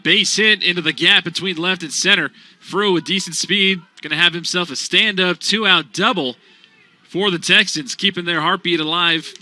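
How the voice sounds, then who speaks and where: A young man calling baseball play-by-play for radio in an animated, punchy sportscaster style. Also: fairly high pitch. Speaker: play-by-play announcer.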